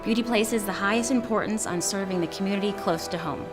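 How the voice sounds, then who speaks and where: A woman's voice: medium pitch, fast. A woman reading a scripted tribute story aloud as a narrator. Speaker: reader of nomination stories. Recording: prominent music bed under the voice. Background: background music.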